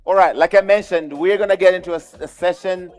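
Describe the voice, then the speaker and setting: A man with a slightly raised pitch hosts a forum from a handheld mic in a big conference hall. He keeps the audience in line in a brisk, upbeat manner, with faint music behind him. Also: darkish tone, clean tone. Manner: upbeat, directive crowd-wrangling. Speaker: moderator. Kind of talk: live forum moderation. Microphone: handheld microphone.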